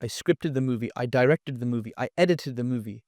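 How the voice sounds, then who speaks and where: A man from the UK, a podcast guest, telling his story in a relaxed way, with fillers and restarts. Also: mid-range voice, brisk, dry recording, studio quality. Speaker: guest, content creator. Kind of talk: casual podcast interview.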